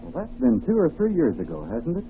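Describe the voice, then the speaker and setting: A man plays sidekick on an old-time radio show. His medium-pitched voice swings very widely. The sound is narrow, with faint noise. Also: huge pitch swings, fast, gravelly. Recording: noisy, narrow-band old radio recording.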